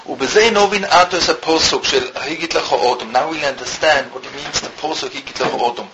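A man from Switzerland teaching a Torah lesson in halting non-native English, quickly reading out Hebrew text and then translating it. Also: a little high. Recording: muffled with loud background hiss.

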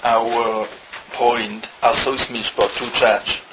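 Man from Switzerland lecturing in halting English. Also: medium pitch, little pitch movement. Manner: halting non-native English, rapid Hebrew reading. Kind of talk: Torah lecture, reading Hebrew text then translating. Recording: muffled with loud background hiss.

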